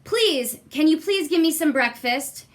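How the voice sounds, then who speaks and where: A woman's voice: high-pitched, lively intonation, measured pace, voice pushed up. A woman voicing a bold, polite young boy in a storybook, earnest and pleading. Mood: eager, pleading.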